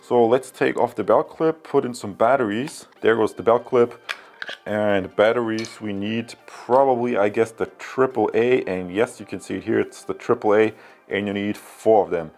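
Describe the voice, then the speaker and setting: A man's voice: on the low side, normal speed, a little mellow, faint music behind. A man talking casually and unscripted to viewers as a product reviewer, explaining things in a rambling, conversational way. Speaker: product reviewer, channel host.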